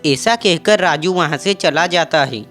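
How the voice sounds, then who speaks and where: A narrator telling a cartoon horror story in a steady, matter-of-fact way. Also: medium pitch, a little slow. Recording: music bed under the voice.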